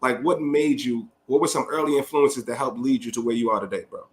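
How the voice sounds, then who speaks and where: A man from the Bronx, co-hosting a podcast in energetic, slangy talk and reading a guest introduction. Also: medium pitch, a little fast. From the Bronx, New York. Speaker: podcast co-host. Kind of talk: hype podcast chat with read intro.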